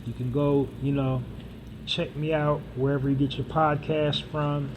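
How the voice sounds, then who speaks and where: Rambling podcast host with traffic noise. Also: male voice, fairly high pitch, level tone, a little slow. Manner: rambling, casual, many fillers and restarts. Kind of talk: solo podcast monologue.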